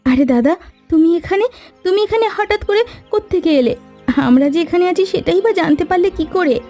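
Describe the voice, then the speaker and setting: A woman voicing a gentle, dutiful young wife in a fairy-tale cartoon. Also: very high-pitched, lively intonation, normal speed, soft background music. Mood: surprised.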